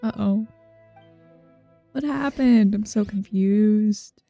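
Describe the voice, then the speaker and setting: A woman's voice: mid-range voice, takes it slowly, dark tone, lo-fi sound. A woman casually commenting on a video game playthrough with puzzled, murmured reactions over game music. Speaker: game streamer. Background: game music and game dialogue.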